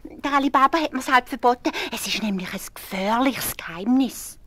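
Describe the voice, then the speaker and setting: A woman plays a poor peasant's wife in a storybook, speaking with a Swiss German accent. Her voice jumps up high and she talks in giggly, chattering bursts. She sounds excitable and nosy. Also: normal speed, lightly acted. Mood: wheedling.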